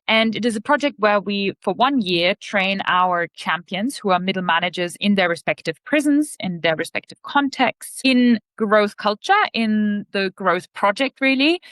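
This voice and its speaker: A woman from Austria speaks as an interview guest in a mid-pitched voice. Her delivery is conversational, earnest and reflective. The recording is dry and free of room echo. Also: fairly bright tone. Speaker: podcast guest, leadership organization CEO.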